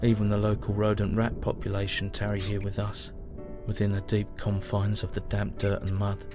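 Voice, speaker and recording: An actor playing a weary, grieving young British soldier, narrating his war journal in a solemn, measured, mournful way. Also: on the low side, monotone, natural voice. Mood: bleak, weary. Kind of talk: dramatic first-person journal narration. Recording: music behind the voice. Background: music.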